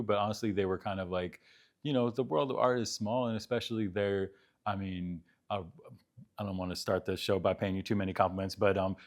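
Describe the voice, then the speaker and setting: A man's voice: fairly low pitch, a little mellow. A man from Boston, an art collector, talking casually in his apartment, rambling with many fillers and restarts. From Boston, Massachusetts. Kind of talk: casual conversation.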